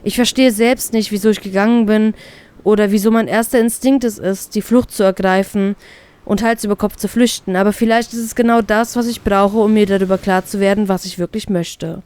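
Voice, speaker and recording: A woman reading a first-person fan fiction story as an expressive narrator. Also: medium pitch, brisk, smooth.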